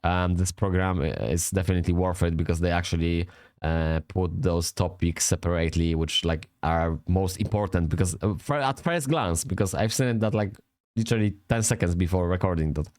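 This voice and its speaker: A man with a Polish accent talks in a low, smooth, resonant voice. He rambles in loose, casual commentary, swears freely and keeps restarting his sentences. The sound is dry and close, with no room around it. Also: moderate pace, darkish tone, dry recording. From Poland. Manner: swears often, rambling and self-correcting, chuckles. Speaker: vlogger reviewing a language app.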